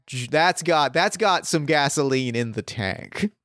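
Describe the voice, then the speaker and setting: A man's voice: a little high, lively intonation, a little slow, a bit bright, dry recording, clean studio sound. A man hosting as game master, casual and encouraging, often repeating words as he gathers his thoughts. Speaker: game master and podcast host.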